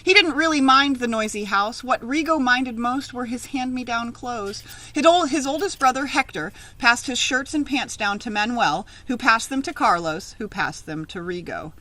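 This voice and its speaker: A woman reading a children's story aloud in an expressive, teacherly narrating style. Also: a little high, normal speed, hardly any pauses.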